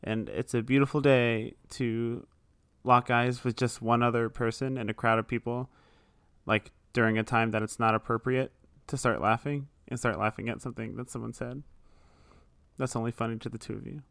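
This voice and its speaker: Casual male podcaster, mid-pitched, recorded very dry. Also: fairly even intonation, normal speed, creaky, fairly bright tone, clean tone, dry recording. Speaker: podcast host and player. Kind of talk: casual chat.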